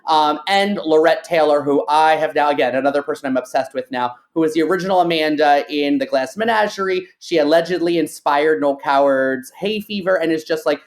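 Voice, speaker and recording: Podcast host with mid-pitched voice. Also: a little fast, few pauses. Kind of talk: casual interview questioning.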